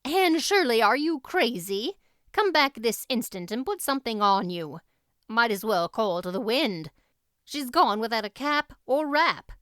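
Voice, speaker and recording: A no-nonsense older farm woman, played by a female narrator, clear and brisk. She is matter-of-fact and dryly scolding, yet caring, in storybook style. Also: on the high side, expressive, fairly bright tone, no room sound, clean studio sound. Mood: exasperated. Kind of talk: audiobook character voice.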